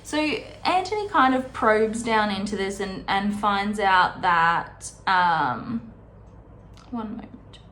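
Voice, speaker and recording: A woman's voice: mid-range voice, animated, slow, a bit bright. Casual woman recapping in an echoey room. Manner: informal, paraphrases characters' dialogue conversationally. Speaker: host of a book readalong. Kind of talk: casual chatty book recap. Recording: roomy echo with faint background noise.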